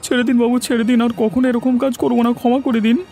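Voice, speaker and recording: A man's voice: very high-pitched, little pitch movement, quick pace, dark tone, soft background music, pitched above the performer's own voice. A man voicing a flustered, obsequious village schemer in a cartoon. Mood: pleading. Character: fawning, excitable, cowardly village man, pleading schemer. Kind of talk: Bengali cartoon voice acting.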